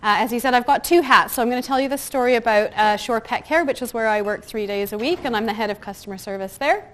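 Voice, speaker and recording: A middle-aged woman with a soft, quiet voice giving a chatty conference talk about customer service, full of ums and light asides. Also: a little high, fast talker. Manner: chatty, frequent ums and uhs, self-deprecating asides. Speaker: conference speaker. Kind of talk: conference talk to a live audience. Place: conference room with audience.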